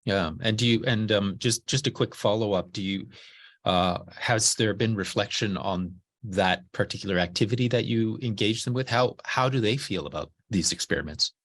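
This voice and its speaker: A man moderates a panel over a video call. His voice sits a little low. He speaks softly and fills the pauses with brief murmurs of agreement. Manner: soft-spoken, with supportive backchannels.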